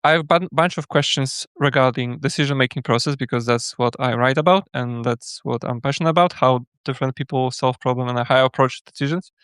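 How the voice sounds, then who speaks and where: A man's voice: medium pitch, a little fast, few pauses, fairly bright tone, clean tone, no echo. A man hosting a casual live interview, introducing his guest and putting questions to him. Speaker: livestream host and interviewer.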